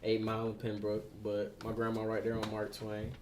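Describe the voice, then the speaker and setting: A young man from Detroit, a rapper, talking about his music in a relaxed, slangy podcast chat. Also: lowish voice, a little fast, slight background noise. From Detroit, USA. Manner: laid-back, slangy, repeats "you know what I'm saying". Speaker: rapper being interviewed.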